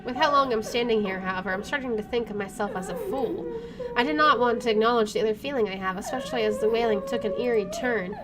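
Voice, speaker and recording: A woman's voice: a little high, expressive, quick pace. A woman game streamer reading visual novel narration aloud, with her own asides. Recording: clearly noisy home recording.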